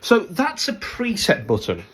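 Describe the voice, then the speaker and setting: A man presenting a step-by-step product demonstration, instructing in a halting way as he thinks aloud. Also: high-pitched, wildly swooping pitch, husky.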